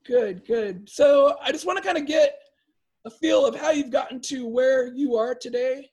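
Upbeat podcast host. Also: male voice, very high voice, normal speed, darkish tone, slightly rough, lo-fi sound. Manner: upbeat, self-deprecating about mispronouncing. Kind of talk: podcast intro and interview.

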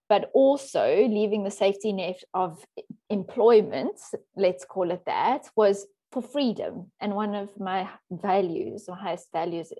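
A woman's voice: mid-range voice, husky. A woman from Africa, a coach talking as a podcast guest in a chatty, laughing way. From South Africa. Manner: warm, chatty, laughs often. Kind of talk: casual interview talk.

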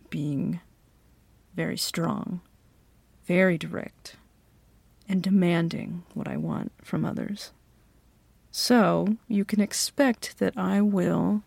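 A woman playing a bossy, flirty company boss in an ASMR roleplay, speaking in a teasing, self-assured way with deliberate pauses. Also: on the low side, vocal fry, a little mellow, no echo, exaggerated voice. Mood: commanding, teasing. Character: bossy, flirty, demanding female company boss interviewing an applicant.

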